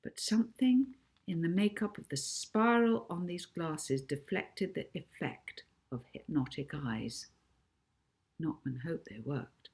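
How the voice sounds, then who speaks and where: Unhurried low-voiced woman reading a story. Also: a little mellow. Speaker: author reading own children's novel. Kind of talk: expressive audiobook narration.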